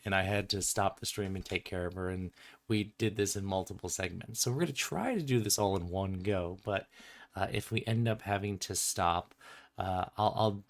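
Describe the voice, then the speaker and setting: Low-voiced man chatting casually, slightly sniffly. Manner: chatty, informal, explanatory. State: slightly sniffly, getting over a cold. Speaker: game designer hosting a commentary stream. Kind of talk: casual unscripted design commentary livestream.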